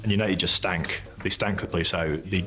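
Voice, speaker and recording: A man, an investigative journalist narrating and interviewing in a brisk, earnest way. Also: deep voice, fairly even intonation, sharp tone, faint music behind.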